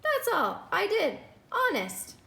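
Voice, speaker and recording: An earnest young schoolgirl, acted out by a woman reading a storybook, speaks high and sing-song. She tumbles through silly rhyming words in an exclamatory way. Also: huge pitch swings, takes it slowly, gravelly, bright, forceful tone, exaggerated voice. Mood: earnest, sheepish.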